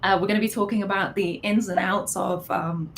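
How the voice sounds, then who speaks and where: Friendly woman moderating a streamed panel, middle-pitched, faint hiss behind. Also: steady tone, a little fast. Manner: friendly, self-deprecating. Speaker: host, product manager. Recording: faint background noise.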